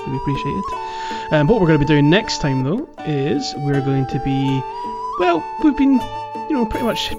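A man's voice: fairly high pitch, wildly swooping pitch, normal speed, hardly any pauses. A man co-hosting a casual gaming podcast, phrasing things hesitantly and circling back over his words. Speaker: podcast co-host. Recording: dull, lo-fi, music behind.

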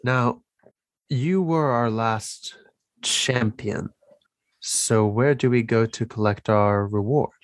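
A man playing a tabletop roleplaying game, talking casually and haltingly in short improvised phrases. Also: medium pitch, no room sound.

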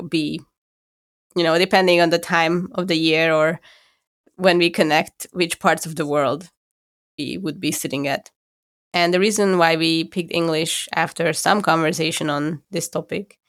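A woman with a Hungarian accent, co-hosting a podcast from home, talking things through thoughtfully and pausing to find her words. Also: deep voice. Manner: thoughtful, searching for words with restarts. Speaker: podcast co-host. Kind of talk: casual conversation.

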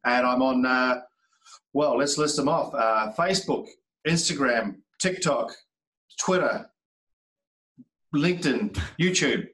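A man's voice: mid-range voice, slow pace, long pauses, fairly bright tone. Aussie sales guy on a podcast, punchy repeats, sounds far from the mic in an echoey room. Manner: emphatic, repeats words for effect, swears casually. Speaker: sales trainer guest. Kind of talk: casual podcast conversation. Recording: roomy, distant from the mic.

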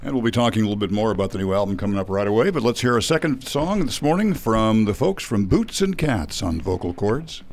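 A man's voice: lowish voice, very animated, fairly quick, few pauses, a little mellow, a little hiss or hum. A man hosting a live radio studio interview, welcoming his guests in a friendly, conversational way. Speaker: radio host.

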